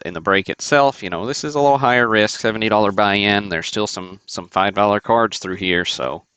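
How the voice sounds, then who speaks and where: A deep-voiced man hosts a card break stream from his desk, chatting casually and thinking aloud as he works. His voice has a rough, gravelly texture. The sound is dry and close to the mic. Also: a bit bright, no echo. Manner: chatty, thinking aloud with many fillers and restarts. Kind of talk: casual livestream commentary.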